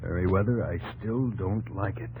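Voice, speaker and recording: Low-voiced wary frontier officer. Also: male voice, moderate pace, phone-quality sound, heavy background noise. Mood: suspicious. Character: male frontier army officer, gruff, wary, plain-spoken companion. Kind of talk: 1950s radio drama acting. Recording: old 1950s radio broadcast, loud music.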